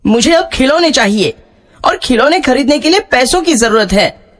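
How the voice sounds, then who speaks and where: A man's voice: very high-pitched, animated, moderate pace, a bit bright, a little hiss or hum, pitched above the performer's own voice. A man voicing a self-centred, demanding young male villager in a children's cartoon story, with a whiny manner.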